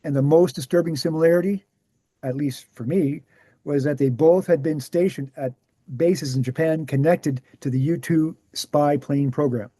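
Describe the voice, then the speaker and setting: A man reading the scripted part of a measured author who answers questions at a talk, deliberate and explanatory. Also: on the high side, warm tone, no echo, close to an everyday voice. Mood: grave, explanatory. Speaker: actor in a screenplay table read. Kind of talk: scripted dialogue read aloud.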